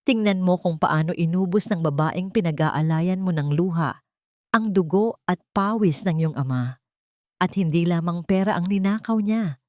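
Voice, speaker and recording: A woman's voice: on the low side, normal speed, darkish tone, no echo, studio quality, close to an everyday voice. A woman voicing a stern, bitter, commanding husband in an audio drama, with firm, cutting delivery. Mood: stern, accusing.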